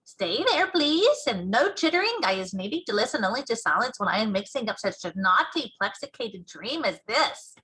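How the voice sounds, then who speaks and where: A woman voicing an excitable big friendly giant in a storybook, speaking in folksy broken grammar with invented words. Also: fairly high pitch, normal speed, few pauses, slightly rough, heavily acted, low-quality recording. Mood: urgent, bossy. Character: excitable, muttering big friendly giant, male, dream-mixer. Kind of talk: storybook read-aloud character voice.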